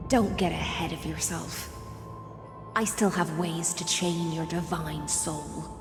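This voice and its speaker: A woman playing a weary, stern warrior demigod in a video game, speaking in a measured, solemn manner. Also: sits low, steady tone. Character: weary, stern female warrior demigod guarding her captive. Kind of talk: dramatic video game voice acting. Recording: echoing hall reverb, music underneath. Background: music.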